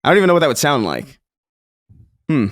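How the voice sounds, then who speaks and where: A man's voice: fairly high pitch, huge pitch swings, quick pace, dry recording, studio quality. A man co-hosting a podcast in casual chat, joking and laughing often, rambling with restarts. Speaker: podcast co-host.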